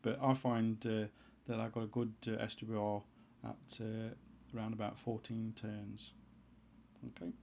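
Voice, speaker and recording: A man giving an unscripted how-to voice-over as a hobbyist presenter, in a halting way, as if thinking aloud. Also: on the low side, little pitch movement, vocal fry, a little mellow, slight background noise. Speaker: hobbyist presenter of a homebrew antenna tutorial. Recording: dull, lo-fi sound.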